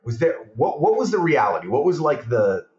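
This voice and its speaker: A man's voice: mid-range voice, fairly quick. A man born abroad chatting casually on a podcast, telling long rambling anecdotes. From Portugal. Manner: rambling, animated storytelling. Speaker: podcast guest, film director. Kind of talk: casual chat. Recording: roomy, muffled sound.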